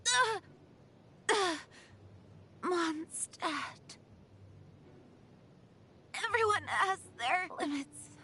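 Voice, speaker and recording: A very high, bright young knight, earnest and dutiful. She speaks slowly, as a game heroine who keeps going through injury. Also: lively intonation, full character voice. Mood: strained, wounded. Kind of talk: video game voice acting.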